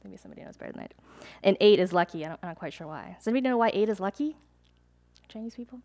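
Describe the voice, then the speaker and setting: A young adult woman reads her own poems to a live audience, pacing the lines with measured, deliberate care. Between poems she slips into loose, hesitant asides. Her pitch sits in an ordinary middle range. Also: expressive, a little mellow. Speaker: poet. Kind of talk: poetry reading with casual asides to an audience. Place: live reading event before an audience.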